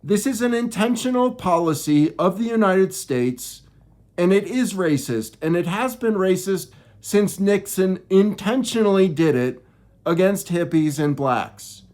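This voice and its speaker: A man with a high-pitched voice that swings widely as he speaks. He talks slowly and haltingly, doubling back on phrases in an informal, preachy monologue of personal opinion. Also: animated, darkish tone. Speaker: video creator.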